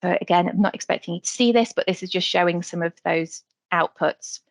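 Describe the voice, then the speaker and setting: A woman presenting slides on a webinar video call, talking quickly with some fillers. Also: lowish voice, vocal fry, no echo. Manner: quick, slightly hurried delivery with fillers.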